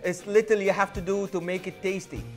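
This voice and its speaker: A man's voice: sits high, fairly even intonation, fairly quick, faint music behind. A man in his thirties, a chef giving an enthusiastic cooking demonstration on a studio kitchen set, on a lapel mic. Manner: enthusiastic, non-native phrasing.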